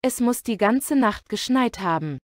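A woman reading short phrases slowly and deliberately for a language course, word by word and then whole sentences. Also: fairly high pitch, animated, dry recording, clean studio sound. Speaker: German language model speaker. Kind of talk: slow repeated phrase reading.

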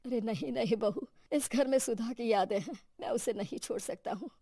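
A gentle, generous old father-in-law from a cartoon, acted by a woman. The voice is mellow, mild and reassuring. The recording is dry. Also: on the high side, moderate pace, husky, no echo. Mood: wistful.

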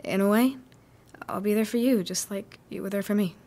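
A sweet, unsure college girl of the sixties, acted by a young woman for an audio drama. Her pitch is average. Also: a little fast, warm tone, close to an everyday voice. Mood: grateful. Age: young adult. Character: sweet, self-doubting young 1960s female student. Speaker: student actor.

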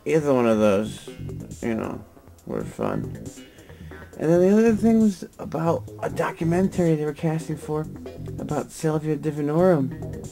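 A sleepy young man lying on a floor, talking in a drowsy, rambling, deadpan way into a nearby webcam. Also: fairly high pitch, normal speed, vocal fry, soft background music. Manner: drowsy, mumbling, deadpan with sudden laughs. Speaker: vlogger. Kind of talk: rambling late-night webcam monologue. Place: lying on a floor in a room indoors.

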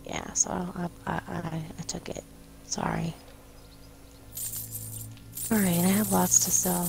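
Creaky-voiced woman chatting while gaming. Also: on the low side, steady tone, takes it slowly, background noise. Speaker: co-host gamer. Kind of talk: casual co-op gameplay chat. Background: music.

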